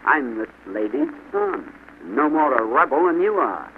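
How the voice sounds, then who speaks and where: A man voicing a steady, quick-thinking young farmer in a story, firm and controlled. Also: mid-range voice. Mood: feigned innocence. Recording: noisy, tinny old radio-like recording.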